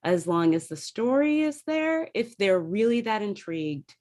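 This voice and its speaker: A woman's voice: medium pitch, expressive, normal speed, darkish tone. A woman speaking in an enthusiastic, conversational way as a guest theatre artist in a casual panel discussion.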